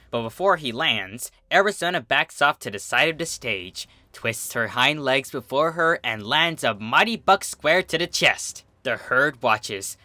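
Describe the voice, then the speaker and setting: A man's voice: medium pitch, bright, forceful tone, dry recording. A man reading a story aloud as a narrator, in a dramatic, scripted storytelling style. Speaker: fan fiction reader, narrator.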